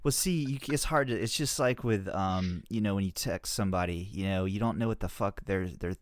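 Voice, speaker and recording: A man's voice: deep voice, brisk, dry recording. A man hosting a casual podcast, talking in a laid-back, rambling way with plenty of swearing. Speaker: podcast host.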